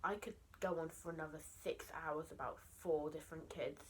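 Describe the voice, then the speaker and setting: A teenage girl venting to camera late at night, speaking softly and often in a whisper, raw and emotional, her tone shifting as she goes. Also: sits low, fairly even intonation, brisk, slight background noise. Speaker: vlogger. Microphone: camera mic.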